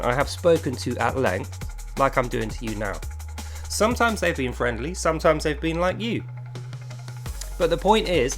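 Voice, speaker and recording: A British man from England speaks in a medium-pitched voice as an online video creator. He is confrontational and insistent, pressing his points emphatically at a single listener. Faint music plays behind him. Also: a bit bright. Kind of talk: direct-address monologue to camera or mic.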